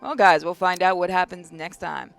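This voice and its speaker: A woman's voice: lowish voice, fairly even intonation, moderate pace, gravelly, fairly bright tone, clean tone, faint music behind. A woman hosting a game playthrough, reading story narration aloud and adding casual commentary. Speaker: gaming video host.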